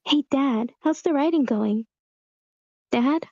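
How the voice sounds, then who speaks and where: A woman's voice: sits high, takes it slowly, a little mellow, dry recording. A woman voicing a bored, pestering, defiant young girl in an amateur screenplay table read, on a slightly dull online call. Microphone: video call.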